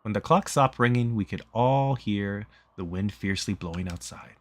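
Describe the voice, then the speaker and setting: A man's voice: lowish voice, normal speed. A man reading a visual novel aloud as a streamer, in casual narration.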